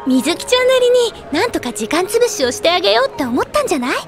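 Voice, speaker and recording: A woman's voice: very high voice, sing-song, fast talker, faint music behind, exaggerated voice. A woman playing a playful, big-sisterly young idol in an anime audio drama, chatty, fond and teasing.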